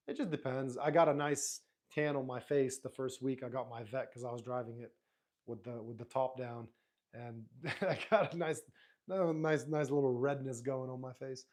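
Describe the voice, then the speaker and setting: Male live stream host, native Arabic speaker, laid-back rambling chat, mid-pitched voice. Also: normal speed. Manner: relaxed, rambling, frequent restarts and fillers. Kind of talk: casual live stream chat, reading viewer comments.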